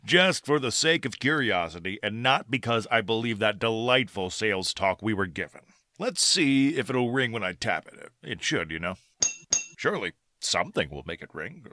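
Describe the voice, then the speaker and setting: Bright, animated actor as a skeptical doctor husband. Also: male voice, fairly low pitch, moderate pace, dry recording, clean studio sound. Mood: wry, skeptical. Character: earnest, skeptical small-town neurologist husband. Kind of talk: old-time radio drama acting.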